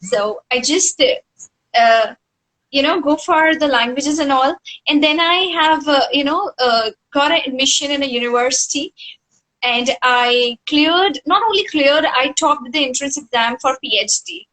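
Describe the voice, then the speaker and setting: A young Indian woman with a high voice and a bright, clear edge. She speaks earnestly about herself, with an Indian English accent and a native Hindi background. She leans on "you know" as she goes. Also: unhurried, poor audio. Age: 20s. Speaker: guest professor. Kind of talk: casual interview.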